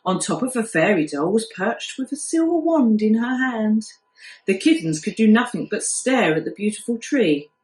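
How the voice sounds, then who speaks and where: A woman's voice: mid-range voice, lively intonation, normal speed, darkish tone. A woman reading a children's story aloud like a primary school teacher, acting out the telling expressively. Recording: echoey room.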